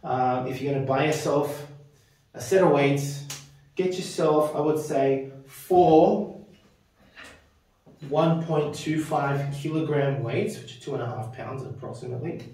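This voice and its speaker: A man's voice: fairly high pitch, unhurried, warm tone. A man in his thirties hosting a casual home gym walkthrough, chatty and rambling, often tagging his sentences with "right?". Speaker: fitness video host. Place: small home gym with power rack, indoors. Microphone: camera mic from a distance. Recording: very echoey room, camera far off.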